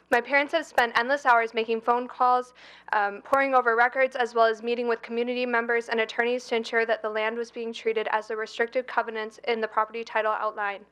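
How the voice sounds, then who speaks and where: A woman's voice: on the high side, fairly bright tone. Earnest young woman reading to a council. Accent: Upper Midwest American. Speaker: public commenter, daughter of the donors. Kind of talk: reading a prepared public statement. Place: city council chamber.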